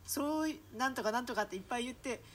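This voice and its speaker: Fast-talking, self-effacing woman amid chatter. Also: a little high, lively intonation. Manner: reluctant, self-effacing talker. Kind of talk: casual chat.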